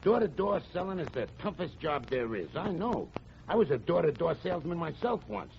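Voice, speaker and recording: An actor playing a loyal, folksy American sidekick with a consoling manner in a 1940s radio comedy. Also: male voice, a little high, big pitch swings, a little fast, vocal fry, slight background noise. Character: loyal, folksy male sidekick encouraging his boss. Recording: muffled 1940s radio with studio audience.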